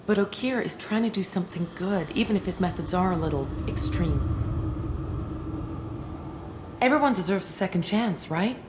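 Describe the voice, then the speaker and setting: A woman's voice: lowish voice, lively intonation, brisk, noisy background, close to an everyday voice. A woman playing a wry, nervous female alien scientist in a video game, with a conversational delivery. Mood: defensive.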